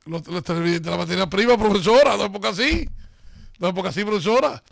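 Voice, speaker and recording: A man with a Dominican accent, co-hosting a studio talk show, answering with quick placating replies. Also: high voice, creaky, no echo. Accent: Dominican Spanish. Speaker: co-host. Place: TV studio set. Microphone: desk mic on stand.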